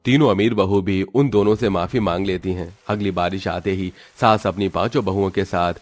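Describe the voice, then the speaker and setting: Man, lowish voice, scripted storytelling, narrator, faint music behind. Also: fairly even intonation, moderate pace, dry recording.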